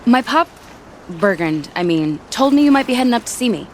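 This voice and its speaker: A woman's voice: fairly high pitch, lively intonation, fairly quick, a little hiss or hum, lightly acted. A woman playing a cheerful, eager young weapons tinkerer in a video game, chatty and playful. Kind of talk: video game dramatic acting.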